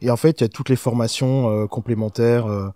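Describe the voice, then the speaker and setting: Mellow-voiced man in his thirties. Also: mid-range voice, fairly even intonation, fairly quick, dry recording, clean studio sound. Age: 30s. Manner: easygoing, many fillers. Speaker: podcast guest. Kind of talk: casual interview answers. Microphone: studio podcast microphone.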